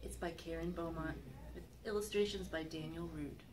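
A middle-aged woman, a children's librarian, reading a picture book aloud to young children in an expressive, sing-song way. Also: sits low, normal speed. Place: library reading area with magazine racks, indoors. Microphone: camera microphone a few feet away. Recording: faint background room noise.

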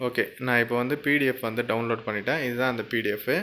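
A man's voice: medium pitch, steady tone, fast, pushed and bright. A man hosting a casual screen-recorded tutorial, chatty and walking through each step while often checking in with the viewer. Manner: chatty, keeps checking in with "okay?". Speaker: tutorial channel host.

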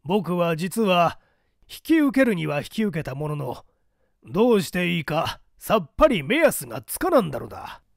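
A man's voice: high voice, sing-song, normal speed, vocal fry, no room sound, clean studio sound, heavily acted. A man voicing a rigid, zealous party loyalist in an audiobook drama, with an emphatic, declamatory delivery. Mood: eager. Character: rigid, zealous male party loyalist preaching iron discipline. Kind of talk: dramatic audiobook character dialogue.

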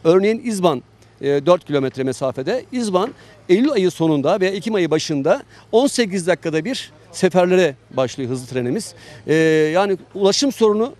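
Higher-pitched man, halting company chairman. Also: lively intonation, darkish tone. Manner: halting, measured, pausing often between phrases. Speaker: company chairman being interviewed. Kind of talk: on-site promotional interview.

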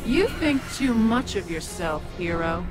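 A cruel witch demon for a video game, haughty and taunting. The delivery is slow, with dramatic swoops in pitch, over prominent music. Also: big pitch swings. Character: cruel, gloating evil witch demon possessing a woman. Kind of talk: video game character acting.

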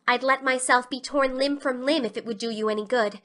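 Woman as a proud little girl, high clear-cut bright tone, stubborn, theatrically earnest, audiobook. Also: fairly even intonation, a little fast. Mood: tragic sorrow. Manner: earnest, dramatic. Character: proud, stubborn, melodramatic young schoolgirl. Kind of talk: audiobook character dialogue.

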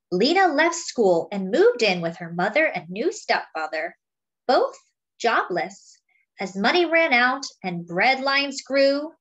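A woman reading a children's picture book aloud as a story-time host, with deliberate phrasing for young listeners. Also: on the high side, a bit bright, poor audio.

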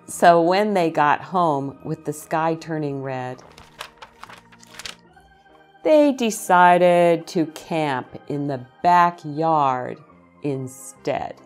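A middle-aged woman reading a picture book aloud to young children, expressively, with dramatic phrasing and pauses. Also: sits low, expressive, faint music behind.

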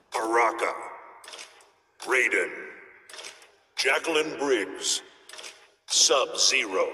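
A solemn thunder god announces a fighting video game with grave authority. Every word is drawn out very slowly and dramatically. The voice is forceful and bright, ringing with long reverb. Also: male voice, mid-range voice, slight background noise, heavily acted. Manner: grave, commanding, deliberate.

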